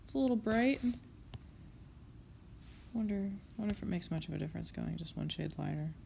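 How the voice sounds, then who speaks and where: A woman's voice: fairly low pitch, animated, a little fast, creaky, warm tone, slight background noise. A young woman artist chatting casually while she draws, self-critical and swearing under her breath, sniffling from a cold. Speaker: comic artist. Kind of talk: casual narration over a drawing session.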